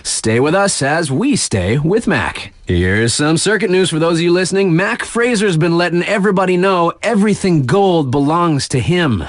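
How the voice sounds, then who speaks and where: A man acting as a cool, upbeat radio DJ for a snowboarding game. His voice sits a little high and swoops with lively inflection. He delivers news patter in chummy slang, hyping the riders, in a close, dry studio sound. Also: moderate pace, no room sound, natural voice. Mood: upbeat, hyped. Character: upbeat, cool male mountain radio DJ, laid-back hype.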